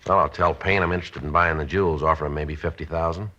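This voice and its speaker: A man's voice: a bass voice, steady tone, fast talker, bright, forceful tone, slight background noise. An actor playing a stoic police sergeant who narrates his case in a clipped, matter-of-fact radio drama style. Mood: businesslike. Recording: dull vintage radio recording, faint music.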